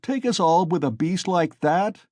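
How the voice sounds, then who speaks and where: A man's voice: high-pitched, lively intonation, unhurried, a little mellow, no room sound, clean studio sound, pitched above the performer's own voice. A man voicing a mocking male peasant jeering from a crowd. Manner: jeering, incredulous.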